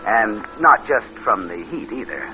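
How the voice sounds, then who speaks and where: A man's voice: on the low side, sing-song, measured pace. A dull vintage radio recording with music behind it. a scheming young drifter, wry and slangy, telling his story like a hard-boiled crook in an old suspense drama. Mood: sly, wry. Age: 20s. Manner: wisecracking, slangy. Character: scheming cynical young drifter narrating his own crime. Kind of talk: 1940s radio acting, hard-boiled narration.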